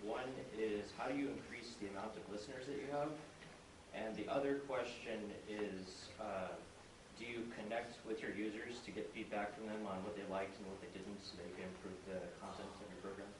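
A man with a fairly low voice puts questions from the audience. He is away from the mic in an echoey room with clear noise. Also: steady tone, fairly quick. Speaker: audience member asking questions. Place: convention panel audience. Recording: distant, echoey, noisy room.

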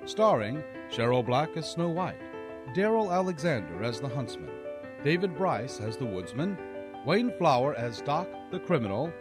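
A man's voice: mid-range voice, big pitch swings, moderate pace, music in the background. A man reading scripted closing credits for an audio drama as its announcer. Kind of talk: scripted announcement for a radio play.

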